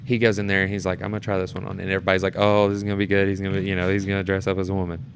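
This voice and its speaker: A man chatting casually and telling stories in an animated way, acting out how people react. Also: sits low, very fast. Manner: animated storyteller, acts out reactions. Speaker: guest, amateur stage performer. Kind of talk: casual storytelling chat. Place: temporary studio in a theatre. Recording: clear background noise and room ambience.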